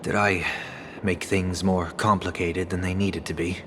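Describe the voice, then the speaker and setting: Low, gentle, refined man. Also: level tone, unhurried, noisy background. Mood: apologetic. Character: gentle, apologetic, refined young male traveller. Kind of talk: video game character acting.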